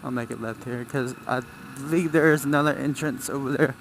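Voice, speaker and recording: A man's voice: mid-range voice, fairly even intonation, brisk, gravelly, a little hiss or hum. A man giving casual, rambling commentary while riding a motorcycle, thinking aloud as he goes. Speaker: motorcycle vlogger. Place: outdoors, riding a motorcycle on city roads.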